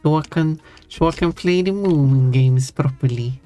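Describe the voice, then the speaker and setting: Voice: medium pitch, normal speed, dark tone. A live streamer chatting casually while drawing, rambling and correcting themself with small giggles. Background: faint background music.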